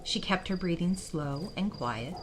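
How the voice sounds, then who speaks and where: A woman's voice: fairly low pitch, lively intonation, a little slow, background noise. A woman reading scripted fantasy story narration as the storyteller. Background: music.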